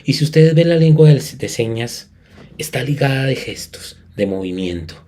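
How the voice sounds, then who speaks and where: A man's voice: mid-range voice, expressive, moderate pace, mellow. A man from Colombia giving an academic conference talk as a music educator, earnest and emphatic, with frequent restarts.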